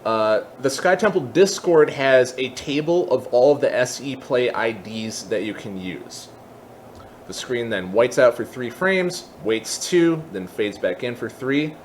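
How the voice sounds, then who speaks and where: A man giving an unscripted software tutorial, explaining casually step by step and thinking aloud as he goes. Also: mid-range voice, animated, a little slow, a little hiss or hum. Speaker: tutorial presenter.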